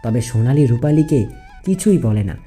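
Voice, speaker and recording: A man's voice: mid-range voice, animated, normal speed, dark tone, soft background music. A man giving scripted storytelling narration for a cartoon fairy tale.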